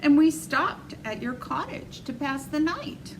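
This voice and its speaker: A timid, kind young girl , played by a woman reading a storybook, with a fairly high, lively voice. She speaks softly and earnestly. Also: measured pace, background noise. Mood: earnest, friendly. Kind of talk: storybook read-aloud character voice.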